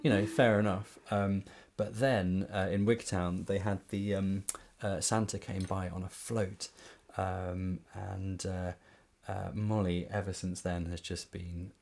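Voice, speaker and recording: Brief: male musician with a low voice, casual, hesitant stage chat. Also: level tone, measured pace, vocal fry, few pauses, a little mellow. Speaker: musician in a band. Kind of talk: casual chat to a concert audience.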